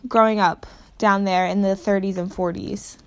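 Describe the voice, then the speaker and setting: A woman's voice: medium pitch, little pitch movement, moderate pace. A young woman student interviewing an older relative, talking casually with lots of filler words.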